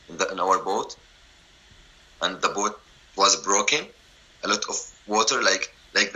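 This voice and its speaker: A man in his twenties from Syria with an Arabic accent, telling his story in halting, broken English full of restarts. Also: on the low side, pushed and bright, poor audio. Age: 20s. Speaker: interviewee. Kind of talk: personal story, casual.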